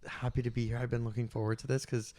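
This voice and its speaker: A chatty young man from Iraq, gabbing with a friend on a podcast. He talks in an animated, gossipy rush, sprinkling "like" everywhere, at a medium pitch. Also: fast. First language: Arabic. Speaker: podcast guest. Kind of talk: casual chat.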